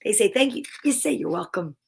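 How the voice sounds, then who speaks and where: A woman's voice: medium pitch, animated, a little fast, a little hiss or hum. A woman hosting a live children's story time, reading aloud and chatting to viewers in an upbeat, gushing, encouraging way.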